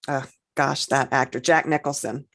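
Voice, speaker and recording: A woman with a low voice talks as a podcast co-host. She is casual and unscripted, pausing and piecing her thoughts together aloud as she goes. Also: steady tone, fairly bright tone.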